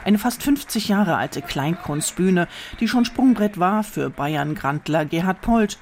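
A woman's voice: on the low side, expressive, unhurried, darkish tone, slight background noise. A woman reporter reading scripted narration for a radio feature.

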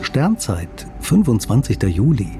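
A man's voice: medium pitch, huge pitch swings, unhurried. A man delivering a scripted, dryly ironic radio science commentary. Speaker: science columnist. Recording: music bed under voice.

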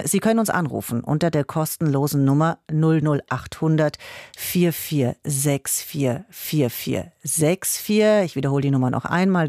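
A woman hosting a radio talk show, reading her introduction in a clear, measured way. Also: low-pitched, mellow, no echo, studio quality. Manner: clear, measured, reads numbers slowly. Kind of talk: scripted radio moderation. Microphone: studio microphone.

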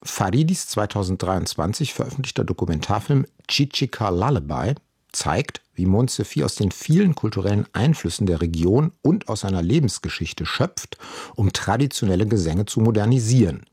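Man, husky, low, lively pitch, dry close studio read, radio feature narration. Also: normal speed, dry recording. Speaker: radio reporter.

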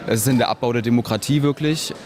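Teen boy, student, quick worried questions, crowd behind. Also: medium pitch, a little mellow. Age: teens.

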